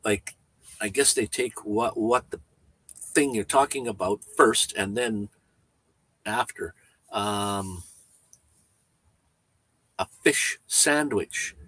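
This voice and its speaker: A man around seventy with a Canadian accent, chatting casually on a livestream, rambling and halting with frequent restarts. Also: fairly low pitch, sharp tone, husky, no echo, rough recording. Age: 70s. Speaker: van-life vlogger.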